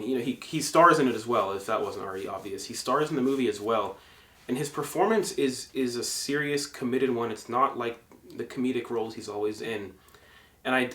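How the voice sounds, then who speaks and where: A man's voice: fairly low pitch, fairly bright tone, echoey room. A young man from Egypt giving a casual movie review monologue, full of fillers and restarts. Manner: conversational, frequent fillers and self-corrections.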